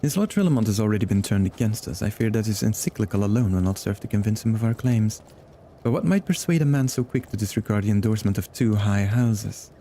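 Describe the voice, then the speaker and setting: A man's voice: lowish voice, expressive, fast talker, warm tone, slight background noise. A man reading the lines of a formal, earnest young male noble scholar from a game, with game music playing behind him. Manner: reads formal game dialogue aloud, stumbling on long words.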